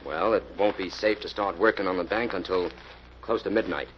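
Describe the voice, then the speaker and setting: A man playing a tough American bank robber in an old radio crime drama, gruff, impatient and slangy. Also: sits low, brisk, natural voice. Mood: bossy. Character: tough, bossy, hard-boiled American bank robber, ex-convict. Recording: muffled, hissy old radio recording.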